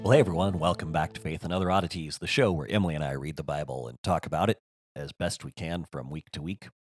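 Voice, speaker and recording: A man with a strained, hoarse voice that is giving out, chatting casually and haltingly as a podcast co-host, poking fun at himself. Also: very deep voice, faint music behind. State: losing his voice. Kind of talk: casual chat.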